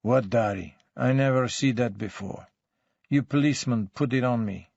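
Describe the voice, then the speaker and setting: A man's voice: mid-range voice, moderate pace, gravelly, a little mellow, no room sound, muffled recording. A man voicing a surly, evasive male crime suspect with an Eastern European accent, whining in terse broken English. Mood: surly, defiant.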